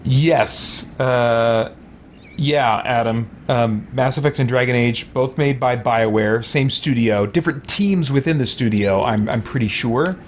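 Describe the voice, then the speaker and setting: A man's voice: medium pitch, a little slow. Man rambling casually over game noise. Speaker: streamer and host of a game let's-play.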